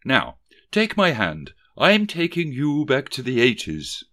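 A man voicing a stern, haughty middle-aged female ghost who talks slowly and imperiously. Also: medium pitch, huge pitch swings, bright, forceful tone, voice pushed up, exaggerated voice.

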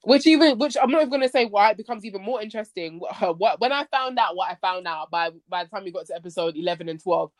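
A woman hosting a casual podcast chat about a TV series, expressive and slangy. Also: mid-range voice, fast, few pauses, no echo. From Nigerian background. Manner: expressive, slangy, acts out quoted lines. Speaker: podcast host.

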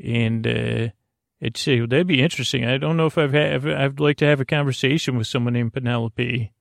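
A man with a creaky voice hosting a sleep podcast, rambling in a lulling, silly, meandering way with halting self-corrections. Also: medium pitch, steady tone, no room sound, clean studio sound. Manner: lulling, soothing. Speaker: podcast host.

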